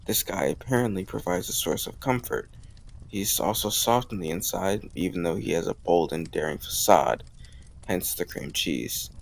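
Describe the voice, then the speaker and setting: A man's voice: sits low, normal speed, gravelly, rough recording. A man playing a bold, teasing young college student in love, in an intimate, conversational romantic audio roleplay. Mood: playful, teasing.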